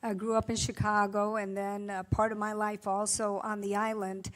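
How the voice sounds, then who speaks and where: A woman in her sixties from Puerto Rico, a native Spanish speaker, giving an earnest acceptance speech full of halting filler sounds. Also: mid-range voice, level tone. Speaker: award honoree.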